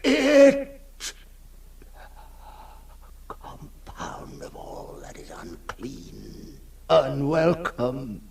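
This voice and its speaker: A man playing an anguished ghoul in a theatrical 1960s radio horror monologue, halting between whispers and cries. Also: mid-range voice, gravelly, a little mellow, heavily acted. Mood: horrified revulsion. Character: anguished male ghoul recoiling from his own monstrous reflection. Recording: noisy old 1960s radio recording.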